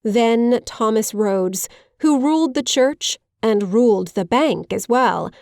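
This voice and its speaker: Female poetry reader, fairly high voice, slow, dry close recording. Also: animated, a little mellow, no room sound. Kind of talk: narrative verse reading.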